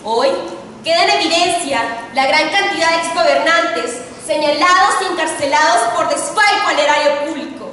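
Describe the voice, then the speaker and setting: A young woman student with a Mexican accent giving a formal, solemn oratory speech with emphatic delivery and dramatic pauses. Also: high-pitched, pushed and bright. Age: teens to young adult. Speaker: student contestant in an oratory contest. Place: large reverberant indoor hall. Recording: echoey hall, distant mic, faint noise.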